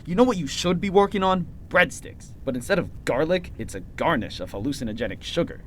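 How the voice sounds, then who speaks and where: Casting: an actor as impulsive wannabe bad-cop reporter, mid-pitched, goofy bluster, animated delivery, audio drama. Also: a little fast, a little hiss or hum, natural voice. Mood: delirious, dreamy. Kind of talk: audio fiction podcast acting.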